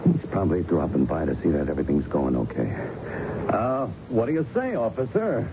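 An actor in a radio drama playing a cocky, tough smuggler who talks in bossy, dismissive slang. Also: male voice, sits low, sing-song, fairly quick, vocal fry, music in the background, exaggerated voice. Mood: cocky, unconcerned. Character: brash, cocky, tough male smuggling crook. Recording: muffled, noisy old radio recording.

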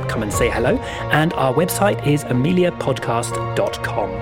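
A man announcing scripted podcast credits and appeals to listeners. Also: a little high. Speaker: show announcer. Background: loud music.

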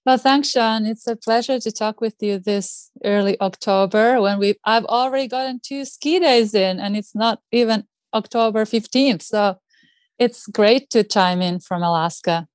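A woman's voice: medium pitch, normal speed, no echo. Finnish-accented woman, dry close recording. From Finland. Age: middle-aged. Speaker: podcast guest, outdoor educator. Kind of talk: casual interview chat.

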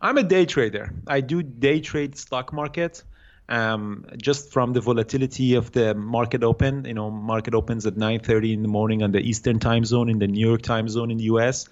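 A man in his thirties from Iran with an Iranian accent, answering interview questions haltingly with many fillers and restarts. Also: medium pitch, steady tone, dull audio. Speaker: guest day trader.

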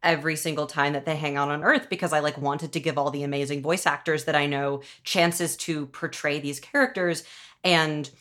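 Low-voiced woman in lively casual chat. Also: normal speed. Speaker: podcast host and audio drama showrunner.

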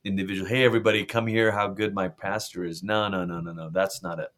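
A man's voice: deep voice, steady tone, fast talker, a bit bright. A middle-aged pastor from Malaysia, speaking earnestly and reflectively in a conversational interview, often restarting his phrases. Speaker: pastor and author.

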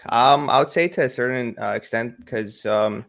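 A man from Iraq who moved to Canada, chatting casually as an interview guest, relaxed and colloquial with many filler phrases. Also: mid-range voice, moderate pace, vocal fry. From Iraq, moved to Canada in 2003. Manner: relaxed, colloquial, frequent 'like' and 'you know'. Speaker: esports pro, interview guest.

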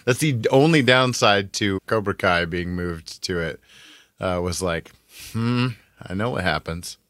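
A man with a low-ish voice and a dry, close recording. He co-hosts a podcast, bantering casually with a wry, sarcastic edge. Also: expressive, measured pace, a bit bright, no echo. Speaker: podcast co-host.

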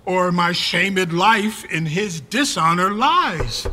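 A man's voice: high-pitched, animated, slow, bright, forceful tone, big-room sound, exaggerated voice. An actor playing a stern, outraged old duke and unforgiving father in a Shakespearean radio drama, declaiming vehemently. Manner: declamatory, vehement verse delivery.

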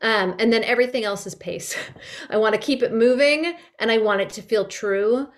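A woman chatting in an interview, halting and emphatic, repeating words as she thinks. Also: a little high. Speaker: director and audio drama maker. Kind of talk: casual interview chat.

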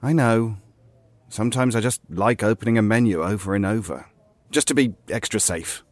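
A man's voice: lowish voice, normal speed, dry recording, close to an everyday voice. Man playing a wry, weary game player, dry sound. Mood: wry, resigned. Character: weary, stubborn veteran player of a surreal game. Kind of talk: naturalistic audio drama acting.

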